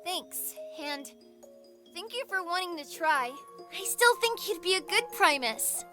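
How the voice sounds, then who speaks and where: Earnest young child for audio drama, played by a woman, very high-pitched and bright, light music underneath. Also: lively intonation, unhurried, exaggerated voice. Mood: tender. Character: earnest, caring young child saying goodbye to friends.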